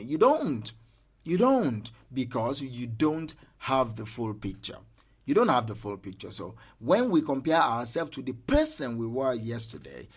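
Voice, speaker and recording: Guy coaching mindset, earnest, says stuff twice to hammer it home. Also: on the high side. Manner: repeats key lines for emphasis, earnest and direct. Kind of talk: motivational talk.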